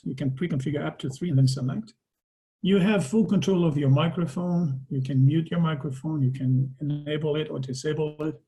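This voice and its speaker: A man's voice: fairly high pitch, mellow, low-quality recording. A man with a Portuguese accent, a native Portuguese speaker, giving a conversational presentation over a video call and often ending his points with a tag question. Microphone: video call microphone.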